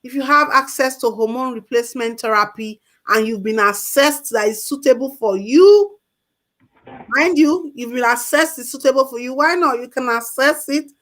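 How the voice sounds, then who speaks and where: A woman with a somewhat high voice gives health advice on a talk show. She speaks emphatically and hammers her main points home by repeating them. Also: normal speed, a bit bright. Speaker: health expert guest.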